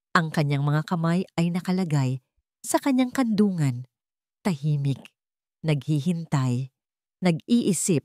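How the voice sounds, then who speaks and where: A Filipino woman reads as an audiobook narrator in a fairly low voice. Her intonation rises and falls widely over solemn, measured phrases. The sound is very dry and close, with deliberate pauses in the dramatic storytelling. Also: a little mellow, no echo.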